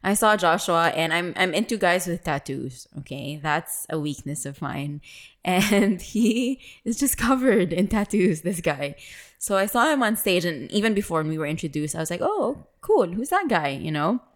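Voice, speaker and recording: A woman's voice: fairly low pitch, very animated, moderate pace. A woman telling stories in a casual, candid and playful way, acting out bits of quoted dialogue. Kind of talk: casual conversational storytelling.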